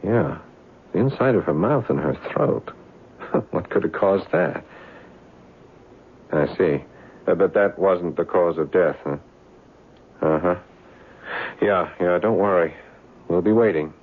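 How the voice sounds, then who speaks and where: A man acting an anxious but rational husband in a 1970s radio drama, with halting, stammering delivery. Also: low voice, husky, exaggerated voice. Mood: tense, explaining. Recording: muffled lo-fi 1970s radio broadcast.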